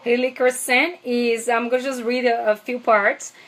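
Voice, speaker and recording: Brazilian-accented woman, slightly high pitch, enthusiastic vlogger, loose and filler-heavy. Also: little pitch movement, moderate pace, background noise. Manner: chatty, many fillers, enthusiastic. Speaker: essential oils seller. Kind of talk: casual product vlog.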